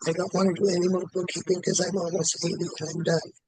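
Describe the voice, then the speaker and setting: A man in his late seventies speaks in a high, flat voice, close and dry. He repeats the same statement again and again at a brisk, steady clip as a client in a tapping session. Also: no room sound. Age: 70s. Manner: repeats one statement over and over in a quick, even rhythm.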